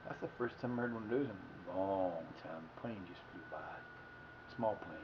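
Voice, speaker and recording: A man's voice: fairly low pitch, normal speed, muffled recording, background noise. A man in his fifties from Ohio, rambling and correcting himself as he tells casual stories on a livestream. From Ohio, United States. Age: 50s. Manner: meandering, self-correcting, folksy. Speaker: livestream host.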